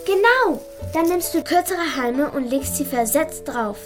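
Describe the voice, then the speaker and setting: A woman playing a confident, cheeky, practical young schoolgirl in a children's radio play, brisk and matter-of-fact. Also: high voice, animated, over music. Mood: brisk, instructive.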